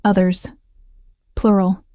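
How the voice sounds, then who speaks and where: Woman reading a story, pretty flat voice, dark mellow tone, dry close mic. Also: medium pitch, takes it slowly, no echo. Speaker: narrator. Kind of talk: scripted first-person story narration.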